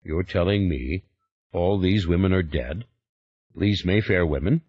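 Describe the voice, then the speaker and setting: A man's voice: low-pitched, measured pace, slightly rough, no echo, muffled recording. A man doing a dazed, bewildered man. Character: bewildered male just woken, confused.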